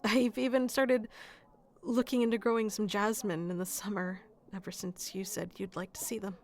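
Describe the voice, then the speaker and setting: A woman's voice: mid-range voice, steady tone, normal speed, close to an everyday voice. A woman playing a shy, sweet, lovestruck florist in a romantic ASMR roleplay, speaking hesitantly with nervous stammers. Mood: tender, wistful.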